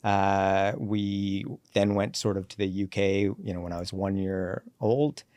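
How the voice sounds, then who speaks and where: A man in his forties with a fairly low voice tells his career story as a podcast guest. He moves briskly through the story and acts out the lines he quotes. The recording is close and dry. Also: steady tone, a little mellow, dry recording. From born in Sri Lanka, raised in Canada. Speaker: guest, company CEO. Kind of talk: relaxed podcast interview, storytelling.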